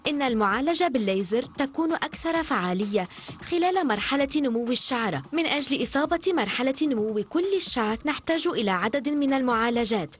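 A woman speaking in a dubbed infomercial, giving brisk, informative explanations. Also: high voice, expressive. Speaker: testimonial speaker in an ad.

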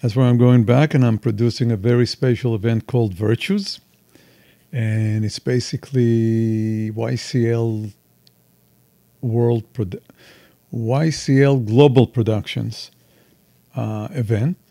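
A man's voice: mid-range voice, steady tone, slow, warm tone, no room sound. A man in his sixties from Israel, telling stories candidly and at length as an interview guest. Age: 60s. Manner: expansive, candid storyteller. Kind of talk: casual interview chat.

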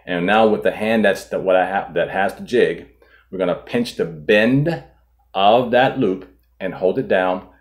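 A man in his forties, host of a fishing channel, calmly walking viewers through tying a knot step by step, filmed indoors at a table. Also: low voice, unhurried. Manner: calm, methodical, walks through each step. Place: indoor studio in front of a boat.

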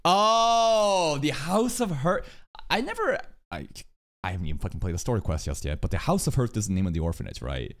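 A man's voice: medium pitch, very animated, a little fast. A young man giving casual live reaction commentary, exclamatory and swearing freely, on a close microphone. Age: young adult. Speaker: streamer reacting to a game trailer. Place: small room indoors. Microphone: close microphone on a boom arm. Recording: music from the trailer behind. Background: music.

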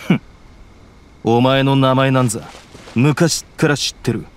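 A man's voice: medium pitch, fairly even intonation, measured pace, a little hiss or hum, close to an everyday voice. A man voicing a grim, bitter male blue oni outlaw who speaks coldly and with scorn. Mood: bitter, scornful. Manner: cold, scornful, heavy delivery. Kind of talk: Japanese game voice acting.

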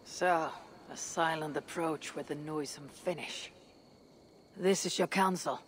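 An actress playing a confident young female Viking warrior in a game, husky, stoic and deliberate in her speech. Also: sits low, slight background noise. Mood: confident, grim. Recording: faint game ambience behind.

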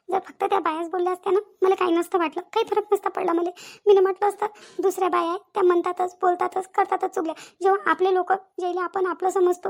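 A woman's voice: extremely high pitch, vocal fry. A woman voicing an aggrieved young daughter-in-law in a cartoon family drama, insistently repeating herself. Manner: repeats phrases insistently, rhetorical questions. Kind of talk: Marathi cartoon family comedy-drama acting.

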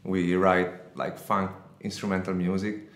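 A young man from Italy, a guitarist, giving short, halting, agreeable answers in a casual interview. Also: low-pitched, level tone, fairly bright tone, roomy sound. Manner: brief, halting, agreeable replies with non-native phrasing. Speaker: guitarist guest. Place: small bright studio room. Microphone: studio condenser mic on stand.

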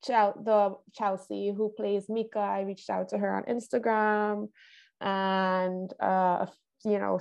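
A young woman from Trinidad speaking thoughtfully and haltingly in a casual interview as a film director. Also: medium pitch, creaky, darkish tone. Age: young adult. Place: indoor room, video call. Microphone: wired earphones on a video call.

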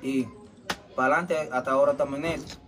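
Calm Dominican man, middle-aged. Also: mid-range voice, fast talker, background noise. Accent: Dominican Spanish. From Dominican Republic. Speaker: interviewee, gagá musician and manager. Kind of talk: casual interview answers. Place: small wooden shrine shack, daylight.

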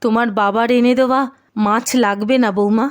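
A woman voicing a meek, patient, middle-aged mother-in-law in a cartoon, gentle and apologetic in the way she talks. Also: fairly high pitch, steady tone, fairly quick, clean tone, lightly acted. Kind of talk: Bengali cartoon voice acting.

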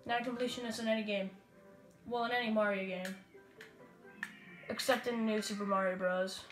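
A woman giving rambling, casual commentary while playing a video game, with excitable bursts. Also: mid-range voice, moderate pace. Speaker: let's play gamer. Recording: roomy echo with game music.

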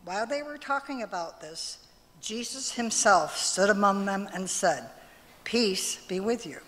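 A woman's voice: medium pitch, animated, a bit bright. Older woman reading scripture, long hall reverb. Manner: measured, careful reading. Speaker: scripture reader. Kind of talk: scripture reading aloud in church. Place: large church sanctuary, at a lectern.

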